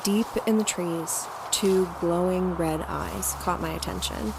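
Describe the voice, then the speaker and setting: A woman's voice: lowish voice, steady tone, unhurried, creaky, background noise. An actress playing a guarded, anxious woman field agent who narrates her story in an audio drama. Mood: anxious, reflective narration. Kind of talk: audio drama acting with first-person narration. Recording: music under the voice.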